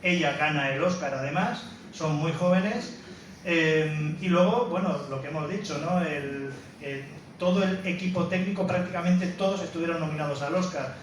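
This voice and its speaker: A man's voice: on the high side, moderate pace. A man giving an informal, explanatory talk as a film presenter, often stressing points and seeking agreement. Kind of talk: informal spoken lecture introducing a film. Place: indoor room, presenting to an audience. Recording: very echoey room, distant mic, faint noise.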